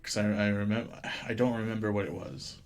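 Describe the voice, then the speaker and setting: A man's voice: lowish voice, steady tone, brisk, a little mellow. A man live streaming a game, chatting casually with some swearing and reading the on-screen text aloud. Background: game music.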